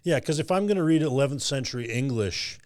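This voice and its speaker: Lively, curious male podcast host. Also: medium pitch, no room sound, studio quality. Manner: animated, curious, quick to agree. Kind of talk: casual interview chat.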